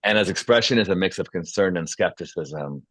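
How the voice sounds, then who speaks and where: A man's voice: low-pitched, brisk, a bit bright, breathy edge, dry recording. A man speaking as host and narrator of an informal script table read. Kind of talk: stage-direction reading and casual feedback discussion.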